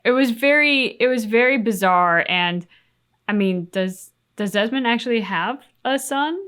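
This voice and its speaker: A woman's voice: fairly high pitch, unhurried, fairly bright tone, smooth. A woman co-hosting a reaction show, chatting casually in a musing, questioning way. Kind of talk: casual chat reacting to game cutscenes.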